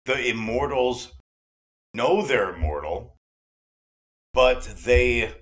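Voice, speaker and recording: Earnest, halting man in his forties. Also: mid-range voice, lively intonation. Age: 40s. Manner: earnest, halting, repetitive, swearing freely. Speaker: podcast host and devoted fan. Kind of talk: casual, rambling fan conversation.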